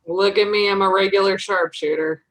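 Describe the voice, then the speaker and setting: A woman's voice: mid-range voice, fairly even intonation, moderate pace, natural voice, rough recording. A woman playing a modest, good-humoured survivor in a comic table read, with a self-deprecating manner. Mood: playful, modest.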